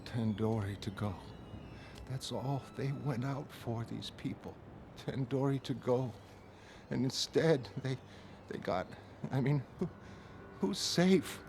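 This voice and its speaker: A man voicing a distraught, frightened young woman superhero with a halting, upset delivery in a motion comic. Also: mid-range voice, darkish tone, a little hiss or hum. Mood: shaken disbelief. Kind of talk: dramatic motion comic narration.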